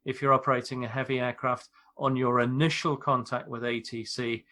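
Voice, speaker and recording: A British man, an airline pilot, explaining things in a measured, informal way on a podcast. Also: mid-range voice, level tone, sharp tone. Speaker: airline pilot, expert guest.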